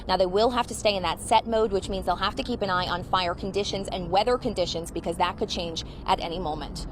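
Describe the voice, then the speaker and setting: A woman field reporter delivering a scripted live television news report. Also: medium pitch. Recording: noticeable background noise on location.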